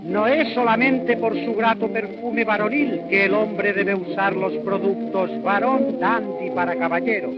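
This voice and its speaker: A man's voice: sits high, steady tone, unhurried, few pauses. A man announcing an old-fashioned scripted advertisement for radio. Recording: dull vintage radio recording with loud music.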